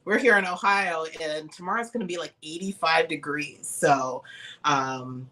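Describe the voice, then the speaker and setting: A woman's voice: low-pitched, a little slow, sharp tone, rough recording. A woman hosting a casual livestream chat who sounds congested and nasal from a cold, greeting viewers with frequent "um"s. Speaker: host and travel advisor.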